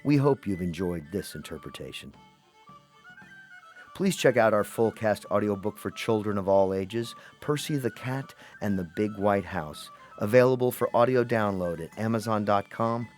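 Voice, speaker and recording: A male announcer with a fairly low voice reads scripted closing credits. Music plays faintly underneath him. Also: a little mellow.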